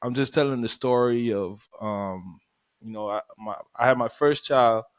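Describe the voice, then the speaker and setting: A man's voice: mid-range voice, unhurried, dry recording. Easygoing man, dry close sound. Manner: easygoing, full of fillers like "man" and "you know". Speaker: podcast co-host, music artist. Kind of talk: casual chat.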